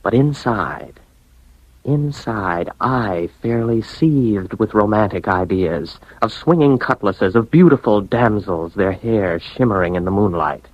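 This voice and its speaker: Shy wry young american office guy, old radio drama, really lively pitch, dry close sound. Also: unhurried, dry recording, muffled recording. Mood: wry, wistful. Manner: wry, articulate, hesitant with strangers. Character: lonely, diffident romantic office worker in gray flannel. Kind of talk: 1950s radio drama acting, first-person narration.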